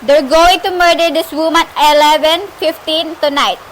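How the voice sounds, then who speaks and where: A woman's voice: high-pitched, fairly even intonation, moderate pace, natural voice. A woman playing an anxious, demanding, indignant married woman on the telephone in an amateur radio play. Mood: flustered. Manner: fretful, demanding, exclaiming. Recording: faint background noise, amateur home recording.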